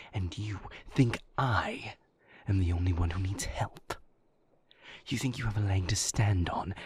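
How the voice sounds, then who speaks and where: A man playing a moody, bitter vampire boyfriend in an intimate audio roleplay, breathy and husky, with sardonic, cutting, deliberate phrasing. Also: low voice, heavily acted. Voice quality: breathy, husky, gravelly half-whisper.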